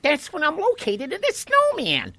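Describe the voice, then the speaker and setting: A woman's voice: high-pitched, huge pitch swings, moderate pace, sharp tone, no echo, exaggerated voice. A woman playing a plucky, chatty water molecule living inside a snowman, matter-of-fact and pushy, in a children's audio drama.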